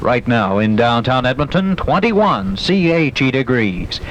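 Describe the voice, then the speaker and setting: A man works as a 1970s radio newsreader, telling his items with a lively, swinging pitch. The old broadcast recording carries faint noise. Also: unhurried, poor audio. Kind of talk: 1970s radio news storytelling and announcing.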